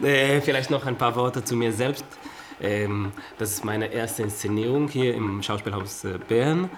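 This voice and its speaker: A man's voice: medium pitch, slight background noise, close to an everyday voice. Man playing a hesitant, apologetic theatre director. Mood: halting, apologetic. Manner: halting, apologetic. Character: hesitant, slightly muddled male theatre director at rehearsal.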